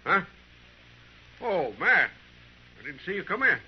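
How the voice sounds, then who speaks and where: A man's voice: high-pitched, sing-song, measured pace. An American man playing a proud, resigned frontier blacksmith in a 1950s Western radio drama, plain-spoken and folksy. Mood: surprised, wary. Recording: noisy, muffled 1950s radio recording.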